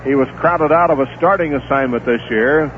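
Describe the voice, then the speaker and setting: A man calling a baseball game play-by-play on radio, steady and unhurried, filling the gaps between pitches with stats and notes on the players. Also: a little hiss or hum. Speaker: play-by-play announcer. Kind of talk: live baseball radio commentary. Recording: tinny 1970s AM radio broadcast.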